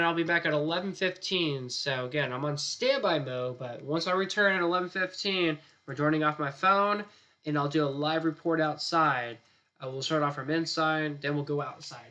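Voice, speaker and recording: A man forecasts the weather live from a studio, talking loosely and circling back with "again" as he goes. His voice sits a little high and sounds bright and forward, with the strain of a throat that is starting to give out. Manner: loose, rambling, repeats "again" often. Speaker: chief forecaster. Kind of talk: live weather stream commentary.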